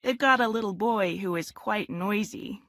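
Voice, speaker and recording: A woman's voice: medium pitch, moderate pace, fairly bright tone, no room sound, lightly acted. An actress in her twenties playing a friendly young woman applying to a club in a scripted exam dialogue, chatty and polite. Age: 20s. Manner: chatty and polite, clear careful spelling. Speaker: club applicant in a listening test recording. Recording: dry studio sound.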